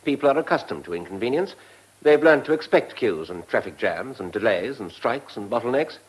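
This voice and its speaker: An actor playing a dry, deferential civil servant in a radio comedy drama, tactful and understated, with a received pronunciation English accent. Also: male voice, mid-range voice, animated, husky, poor audio. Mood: drily tactful. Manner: tactful, measured, drily understated.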